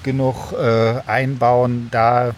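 A man's voice: mid-range voice, little pitch movement, slow pace, slight background noise. A man who hosts a podcast, talking in a casual, reflective way and often checking for agreement. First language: German. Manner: informal, reflective, seeks agreement with tag questions. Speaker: podcast host. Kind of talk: casual conversational interview talk about podcasting.

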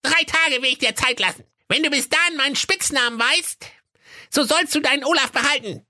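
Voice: sits high, brisk, pushed and bright, no echo, studio quality. A performer playing a small, ugly, wicked gnome doctor, bossy and gloating, in a comic fairy tale audio play. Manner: pushy, scolding.

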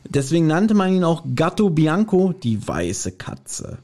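A man's voice: fairly high pitch, sing-song, unhurried, a little mellow. A man co-hosting a podcast, recapping an audio drama in a casual, colloquial way. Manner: colloquial, acts out quotes animatedly. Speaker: podcast co-host.

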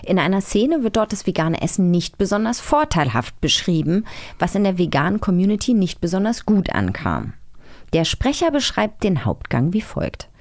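A woman's voice: medium pitch, sing-song, normal speed, darkish tone. A German woman co-hosting a podcast, bantering casually and reading out quiz claims. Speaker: podcast co-host.

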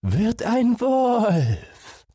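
Slow, very high enchanted spring. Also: male voice, wildly swooping pitch, dark tone, breathy edge, no room sound, studio quality, full character voice. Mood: eerie warning. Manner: slow, drawn-out, chanting. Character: enchanted murmuring spring, slow eerie warning voice.

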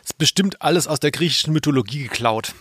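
A man's voice: on the high side, quick pace, fairly bright tone, husky, dry recording, studio quality. A man chatting casually and wryly as a podcast co-host. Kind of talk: casual chat.

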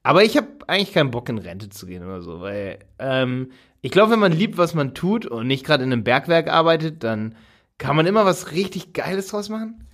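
A man's voice: fairly high pitch, very animated, fairly quick, fairly bright tone. A man in his late twenties co-hosting a podcast in casual chat, talking emphatically and laughing often. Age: 20s. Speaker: podcast co-host.

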